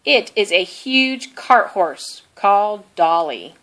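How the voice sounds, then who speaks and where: A woman narrating a children's storybook aloud in an expressive, deliberate way. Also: mid-range voice. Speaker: storybook reader.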